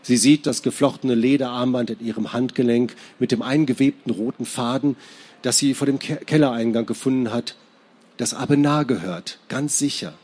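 A man with a husky voice reading literary prose aloud. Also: medium pitch, moderate pace, a little mellow. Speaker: author reading from a novel at a public reading.

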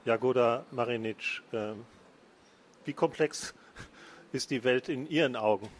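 A man's voice: mid-range voice, a little slow. A man moderating a panel discussion, asking long, abstract, winding questions.

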